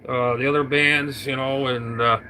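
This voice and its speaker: A man chatting casually over his radio, rambling and halting with lots of fillers and restarts, talking into an old hand mic. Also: medium pitch, steady tone, bright, forceful tone, a little hiss or hum, poor audio. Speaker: radio operator. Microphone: vintage hand mic on a radio.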